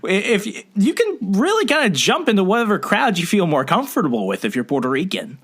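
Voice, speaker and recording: A man's voice: high voice, a little fast. A man hosting a podcast, chatting casually with a guest, joking and drifting into rants. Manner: animated, joking, prone to rants. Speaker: podcast host. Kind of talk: casual conversation.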